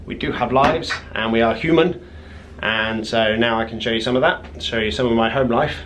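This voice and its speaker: A man, a personal trainer talking through his day in a relaxed, rambling vlog with frequent ums. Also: medium pitch, normal speed, a little hiss or hum, low-quality recording. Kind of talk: casual vlog narration. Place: home, car and outdoor walk.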